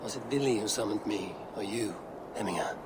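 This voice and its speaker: An actor playing a steady, loyal Viking warrior and trusted friend in a video game, measured and earnest. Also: male voice, fairly low pitch, very noisy, natural voice. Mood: grave, earnest. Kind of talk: dramatic video game acting.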